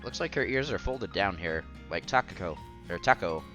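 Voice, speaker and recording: Animated man doing casual let's-play commentary. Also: sits low, moderate pace. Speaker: streamer reading a visual novel aloud. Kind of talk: casual let's-play commentary mixed with expressive story reading. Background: music under the voice.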